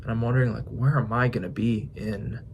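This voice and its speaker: A man in his twenties talking through his thoughts in a casual vlog, musing with pauses and restarts. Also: medium pitch, fairly even intonation, vocal fry, a little hiss or hum. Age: 20s. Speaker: fitness vlogger. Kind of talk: reflective casual vlog monologue.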